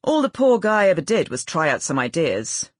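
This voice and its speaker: A woman's voice: fairly low pitch, very animated, normal speed, no room sound, clean studio sound. A woman narrator voicing a blunt, exasperated, argumentative brother in audiobook dialogue.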